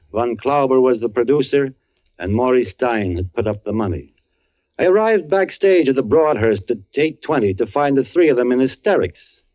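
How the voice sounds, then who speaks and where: An actor with an American accent playing a grim, world-weary narrator of a radio murder story, in deliberate, hard-boiled phrasing. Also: male voice, mid-range voice, lightly acted. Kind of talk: 1940s-50s American radio suspense narration. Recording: muffled old radio recording, loud music behind.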